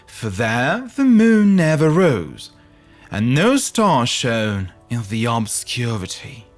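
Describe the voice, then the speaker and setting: A man narrating a scripted fairy tale in a theatrical, deliberate storytelling manner, as a podcast host would. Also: mid-range voice, huge pitch swings, faint music behind.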